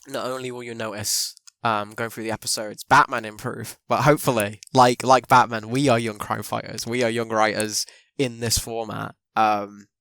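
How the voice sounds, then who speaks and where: A man hosting an interview podcast. He is chatty and upbeat, laughs easily and fills his sentences with ums and likes. His voice sits at an ordinary pitch and sounds dry and close, with no room echo. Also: level tone, normal speed, a bit bright. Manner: chatty, laughs often, many fillers. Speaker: podcast host. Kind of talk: casual interview chat.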